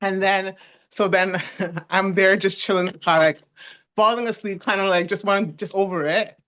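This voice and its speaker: Woman in her forties, from Canada, giggly and animated, dull lo-fi stream audio. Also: mid-range voice, normal speed, slight background noise. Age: 40s. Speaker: guest. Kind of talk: casual storytelling chat.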